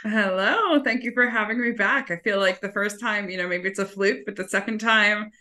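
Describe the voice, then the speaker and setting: A woman's voice: medium pitch, normal speed, pushed and bright. A woman appearing as a podcast guest, speaking in a modest, light-hearted way. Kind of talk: casual interview chat.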